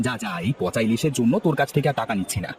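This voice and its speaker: A man voicing a blunt but kindly fishmonger in a cartoon, with a gruff, familiar manner. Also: mid-range voice, lively intonation, racing, darkish tone, close to an everyday voice. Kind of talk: cartoon voice acting. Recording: music bed behind.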